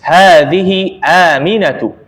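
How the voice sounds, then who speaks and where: Young male language teacher, slow-paced and fairly high-voiced, easygoing and chatty, lots of reverb on the voice. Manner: relaxed and chatty, laughs often, reads Arabic lines slowly and clearly. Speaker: Arabic teacher. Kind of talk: casual online Arabic lesson, reading aloud for repetition. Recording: long reverberant echo.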